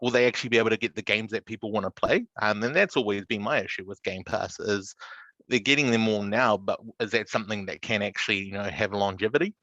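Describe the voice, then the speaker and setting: A man gives informal explanations on a podcast. His voice is fairly low yet bright and forceful. The recording is dry and a touch muffled. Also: quick pace, no echo. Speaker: podcast panellist. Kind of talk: casual podcast discussion.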